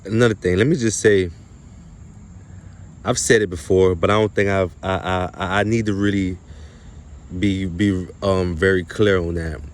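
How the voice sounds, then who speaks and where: Low, monotone man speaking haltingly. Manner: halting, emphatic, repetitive phrasing. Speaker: spiritual video creator. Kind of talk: unscripted spiritual monologue to viewers.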